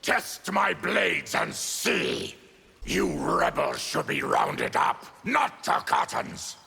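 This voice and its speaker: An actor playing a fierce, proud, embittered mutant warrior in a fighting game, growling and deliberate. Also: male voice, high-pitched, big pitch swings, sharp tone, exaggerated voice. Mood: fierce, defiant. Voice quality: gravelly, growling. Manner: menacing, deliberate. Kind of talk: fighting game character dialogue.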